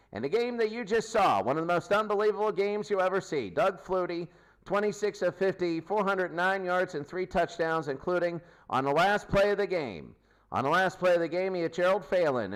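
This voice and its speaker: A man's voice: fairly high pitch, low-quality recording. A man hosting a sports post-game show, recapping games in an emphatic way and repeating his phrases.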